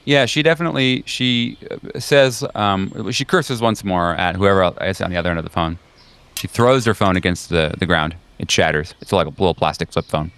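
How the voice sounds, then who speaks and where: A deep, smooth-voiced man runs a tabletop game. He narrates scenes off the cuff, conversationally and with many halting pauses. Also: fairly bright tone. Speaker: game master.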